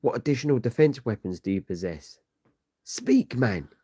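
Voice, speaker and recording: Guy doing an impatient hero leader, forceful orders, comic book style, pitched up, dry sound. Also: wildly swooping pitch, moderate pace, husky, no echo. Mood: impatient, commanding. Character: commanding, impatient male superhero leader barking orders.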